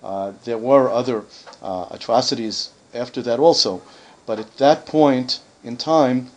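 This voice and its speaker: A man's voice: mid-range voice, unhurried. Conversational man answering a group's questions. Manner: conversational, many fillers, self-correcting. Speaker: community spokesman answering questions. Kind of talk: informal Q&A with a visiting group.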